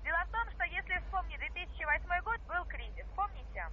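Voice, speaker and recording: A woman giving an insistent sales pitch over the phone, peppering the listener with questions. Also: big pitch swings, fast, sharp tone. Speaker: cold-call investment salesperson. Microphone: phone call. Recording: noisy muffled telephone line.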